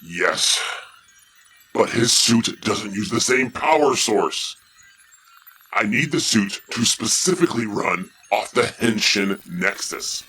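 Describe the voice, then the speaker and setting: A husky, forceful villain count in an audio drama. He is an armored tyrant who barks orders at minions with impatient menace, low and slow. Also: lively intonation, full character voice. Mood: angry, frustrated.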